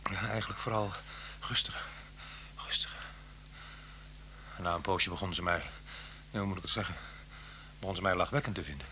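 Brooding fugitive husband, low but tense and bright, halting and hushed, old radio drama with hum. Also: male voice. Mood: wistful, bitter. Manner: halting, brooding, often dropping to a near-whisper. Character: unhappy, soft-spoken husband on the run from police. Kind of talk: Dutch radio play acting.

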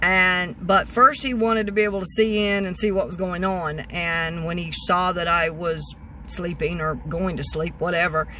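Rambling woman in her sixties, bright voice. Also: fairly low pitch, fairly even intonation, moderate pace, slight background noise, poor audio. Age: 60s. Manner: rambling, many restarts and "you know"s. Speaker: vlogger. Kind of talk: casual storytelling. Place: parked car, indoors of vehicle. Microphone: phone at arm's length.

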